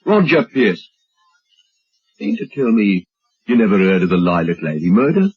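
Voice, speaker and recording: An actor playing an inquisitive, gossipy British village policeman with a husky voice and a matter-of-fact manner, in old radio drama style. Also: fairly low pitch, sing-song, normal speed, exaggerated voice. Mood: matter-of-fact, grim. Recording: dull old radio recording.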